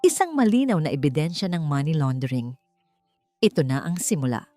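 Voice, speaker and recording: A woman reading a scripted, dramatic suspense love story in short, measured phrases. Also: sits low, animated, dark tone. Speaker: narrator of a story channel. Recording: clear music bed behind the voice. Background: background music.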